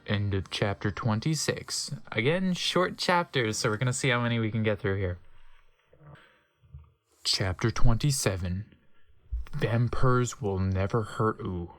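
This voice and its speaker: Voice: medium pitch, measured pace, fairly bright tone. A wry, amused, sweary host giving casual asides during a comic dramatic reading. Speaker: host and reader.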